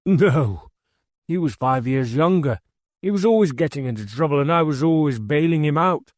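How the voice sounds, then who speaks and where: A man's voice: a little high, moderate pace, no room sound, clean studio sound, pitched above the performer's own voice, natural voice. A man voicing a grown man in a novel who fondly and animatedly reminisces about his boyhood. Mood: animated, amused. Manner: animated, storytelling.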